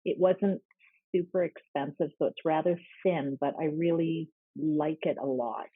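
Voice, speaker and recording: Low husky woman caller, thoughtful and hesitant, tinny dry call line. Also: steady tone, measured pace, no echo. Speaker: call-in participant. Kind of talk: casual chat. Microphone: video call.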